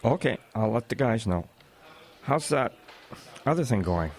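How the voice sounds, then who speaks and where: A man's voice: mid-range voice, animated, measured pace, a little mellow. A man playing a friendly, inquiring boss of a trucking crew in an audio drama. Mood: friendly, casual.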